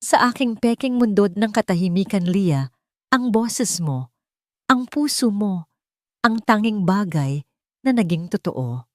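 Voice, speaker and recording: Casting: woman narrator as wealthy romantic male lead, earnest and emotional, slow intimate delivery, dry close sound. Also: mid-range voice, expressive, no room sound, voice pushed up, lightly acted. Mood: tender, sincere. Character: earnest, tender wealthy man confessing hidden love. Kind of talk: dramatic romance audio story.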